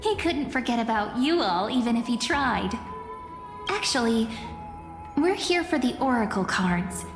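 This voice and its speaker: A woman voicing a graceful young fortune-teller in a fantasy game, soft-spoken, wistful and playful. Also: fairly high pitch, expressive, measured pace. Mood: light, teasing. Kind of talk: fantasy video game voice acting. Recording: reverberant, clear music behind.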